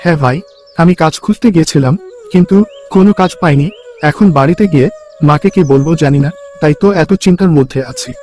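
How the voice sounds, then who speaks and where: A poor young day labourer in a cartoon story, acted by a man. His voice is distinctly high for a male. He talks earnestly and respectfully, with a dutiful, worried air, over faint music. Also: fast talker, a little mellow, close to an everyday voice. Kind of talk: Bengali cartoon story dialogue.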